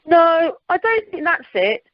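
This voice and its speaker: A woman's voice: very high voice, sing-song, unhurried, no room sound, highs cut off, heavily acted. A woman playing a privileged, dry-witted teenage boy, speaking wryly in an amateur radio comedy recorded by telephone. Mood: casual, curious.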